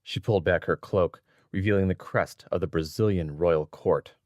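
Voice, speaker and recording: Male story narrator, deep and solemn, close dry recording over faint music. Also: moderate pace, a little mellow, no echo. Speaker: audiobook narrator. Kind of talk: dramatic scripted story narration.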